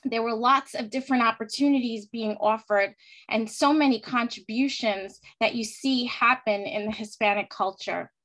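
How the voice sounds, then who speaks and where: Woman, panelist, earnest, heartfelt prepared speech, fairly high voice. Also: moderate pace, a bit bright, poor audio. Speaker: panelist speaker. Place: virtual event.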